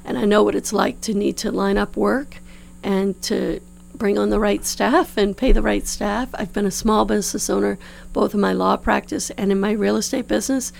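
A woman in her fifties from New Orleans, slightly hoarse, giving earnest, explanatory answers as a political candidate in a studio interview. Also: medium pitch, fairly even intonation, normal speed. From New Orleans, United States. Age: 50s. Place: radio studio. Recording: clear background hum and noise.

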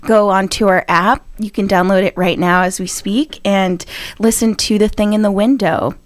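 A woman communications director giving brisk, promotional answers in a radio interview, laughing easily. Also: mid-range voice, steady tone, no echo.

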